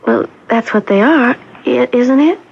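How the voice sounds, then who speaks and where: A woman's voice: on the high side, measured pace, creaky, husky, heavily acted. An actress playing a timid, innocent young woman, polite and tentative, in an old-time radio drama. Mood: tentative, curious. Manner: soft-hearted, tentative, polite. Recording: muffled, noisy vintage radio broadcast.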